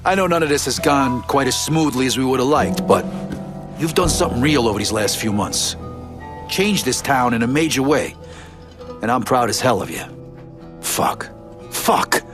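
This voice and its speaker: An actor playing a gruff, foul-mouthed middle-aged mobster in a video game, blunt and streetwise. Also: male voice, mid-range voice, moderate pace, long pauses, close to an everyday voice. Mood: fired up. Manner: blunt, heavy swearing, streetwise. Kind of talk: video game cutscene acting. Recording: music under the voice.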